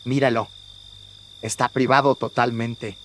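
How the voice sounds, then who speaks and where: A man's voice: medium pitch, little pitch movement, a little slow, background noise, pitched above the performer's own voice, natural voice. A man playing a devout, concerned friar in a horror radio drama, earnest and solicitous. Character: concerned, devout fellow friar tending his brother.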